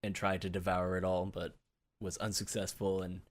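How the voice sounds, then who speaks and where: A man's voice: low-pitched, flat delivery, moderate pace. A man co-hosting a gaming podcast, chatting casually and breaking into laughter often. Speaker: podcast co-host.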